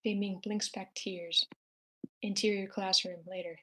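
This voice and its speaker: A woman's voice: mid-range voice, fairly even intonation, a little slow, pushed and bright. A woman reading out scripted stage directions as the narrator at a screenplay table read.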